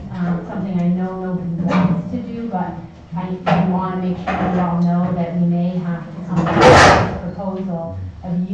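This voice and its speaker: A woman with a Maine accent, a town official, giving a measured formal report to a selectboard, with many ums and restarts. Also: on the low side, noisy background. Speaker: town official presenting to the board. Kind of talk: formal public meeting report. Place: town office meeting room. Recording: echoey, distant, dull municipal meeting recording.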